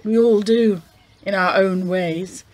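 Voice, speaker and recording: A woman playing a wistful, distressed genteel lady with formal, measured phrasing in a radio play. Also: mid-range voice, fairly even intonation, darkish tone, close to an everyday voice.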